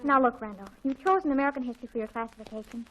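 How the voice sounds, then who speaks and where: A woman acts as an excitable teenage girl in an old radio comedy, gushing and earnest. Her voice is high and races along with dramatic urgency, on a dull vintage recording. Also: very fast, close to an everyday voice. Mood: urgent, bossy. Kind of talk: 1940s radio comedy acting.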